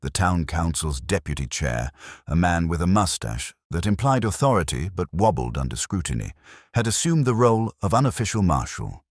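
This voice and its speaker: A man's voice: low voice, big pitch swings, normal speed, no echo, clean studio sound. A man reading scripted narration of a comic village mystery in a wry, literary storytelling manner.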